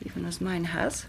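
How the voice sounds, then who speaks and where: An English actress playing an anxious, careworn young Victorian gentlewoman in a radio drama, speaking formally and precisely. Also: fairly low pitch, vocal fry, slight background noise. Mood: sorrowful. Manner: formal, precise, dramatic narrating with hushed moments. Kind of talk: British radio drama acting.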